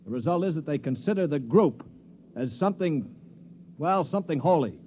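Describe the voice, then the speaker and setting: An actor playing a blunt, cynical Earthman prisoner who narrates a 1950s science fiction radio play in a sardonic, forceful manner. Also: on the high side, animated, moderate pace, slight background noise, close to an everyday voice. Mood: sardonic, commanding. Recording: muffled 1950s radio recording.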